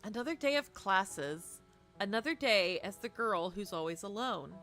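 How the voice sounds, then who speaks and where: A young woman streamer reading a visual novel aloud expressively, with dramatic, casual, animated asides. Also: medium pitch, normal speed, faint music behind.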